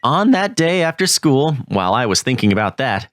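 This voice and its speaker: A man's voice: mid-range voice, big pitch swings, moderate pace, a bit bright, soft background music. A man narrating a manga story in first person, getting flustered and jumpy. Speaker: narrator and lead voice in a manga dub.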